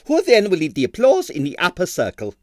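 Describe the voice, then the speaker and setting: A man voicing an excitable, imperious ballet impresario, exclamatory and theatrical, in broken English for a storybook reading. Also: high voice, a little fast, dry recording, clean studio sound, voice pushed up. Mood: indignant, dismissive.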